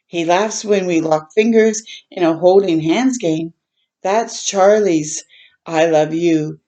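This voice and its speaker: Woman, lowish pitch, soft mellow tone, children's read-aloud host, gentle, expressive, tender asides. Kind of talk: storytime picture book reading with warm asides.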